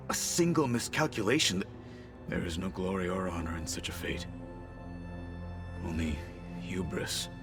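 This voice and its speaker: A stern, proud warrior of a tribe speaks in a deep voice. His phrasing is formal, clipped and solemn, in the dramatic manner of a video game, with music playing behind him. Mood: solemn, grave.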